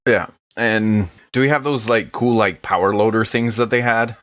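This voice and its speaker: A man playing a tabletop role-playing game in casual chat, halting and often repeating words mid-sentence. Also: fairly low pitch, steady tone, a bit bright, muffled recording.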